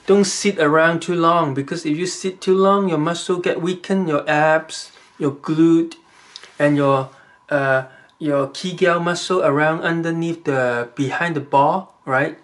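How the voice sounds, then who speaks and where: A man with a Chinese accent, giving rambling, halting advice to the camera in a casual vlog, with many fillers and restarts. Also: fairly high pitch, fairly even intonation, a bit bright. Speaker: vlogger.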